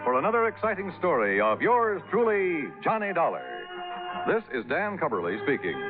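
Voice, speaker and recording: A man's voice: fairly high pitch, big pitch swings, normal speed, music in the background. A male American radio announcer reading scripted show credits in a 1950s broadcast style. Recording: tinny old radio broadcast recording.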